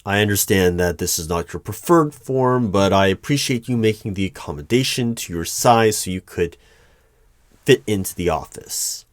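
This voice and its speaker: A man playing a formal, easily flustered hiring manager in an ASMR roleplay, speaking in measured, halting phrases. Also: lowish voice, expressive, no echo, close to an everyday voice. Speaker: performer of a one-sided roleplay. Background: computer keyboard typing.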